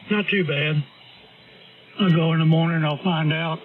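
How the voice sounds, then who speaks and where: A man's voice: on the high side, takes it slowly. A man on the air as a ham radio operator, giving short replies with dry humor. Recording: distant tinny radio signal with static.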